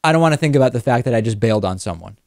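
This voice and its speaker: A man with a fairly low voice hosts a talk show in a conversational way. He interviews and comments. The sound is dry, with next to no room on it. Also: animated, rapid-fire, vocal fry, darkish tone, dry recording.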